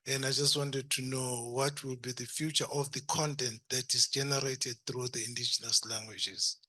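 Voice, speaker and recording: Man asking the panel a question, polite, kinda bright voice. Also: medium pitch, flat delivery, normal speed. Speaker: audience questioner.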